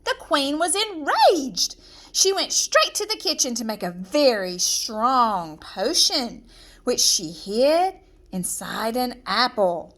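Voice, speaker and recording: A woman reading a children's fairy tale aloud, with expressive, deliberate, dramatic storytelling. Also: fairly high pitch. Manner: measured, dramatic, deliberate phrasing.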